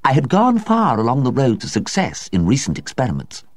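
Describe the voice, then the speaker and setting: A man voicing a patient, self-satisfied gentleman scientist who talks in a lecturing way. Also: mid-range voice, huge pitch swings, a little fast, no echo, pitched above the performer's own voice. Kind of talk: storybook reading.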